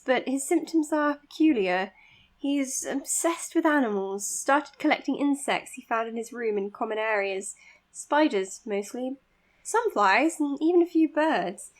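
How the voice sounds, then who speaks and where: Young lady psychiatrist, high pitched, modest, precise, bit hesitant, audio drama style. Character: earnest, modest young woman psychiatrist, careful and devoted. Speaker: doctor being interviewed on a podcast. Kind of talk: audio drama acting, natural interview and voice notes.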